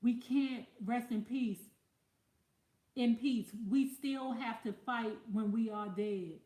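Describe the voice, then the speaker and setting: A woman's voice: on the high side, takes it slowly, warm tone, reverberant, rough recording. A woman hosting a casual livestream, talking bluntly with plenty of profanity and losing her voice. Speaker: livestream host reading viewer comments.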